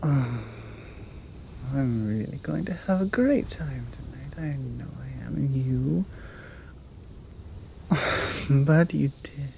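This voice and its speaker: Slow, seductive British monster woman, acted by a woman. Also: extremely low pitch, a little mellow, slight background noise, full character voice. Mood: seductive, pleased. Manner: slow, teasing, breathy drops into whispers. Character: seductive, commanding horned fanged monster woman. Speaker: audio roleplay performer. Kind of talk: intimate ASMR roleplay acting.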